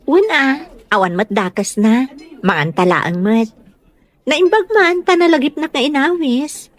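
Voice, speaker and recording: A woman playing a chatty, expressive village woman in a comedy radio drama. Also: sits high, measured pace, no echo. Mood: friendly.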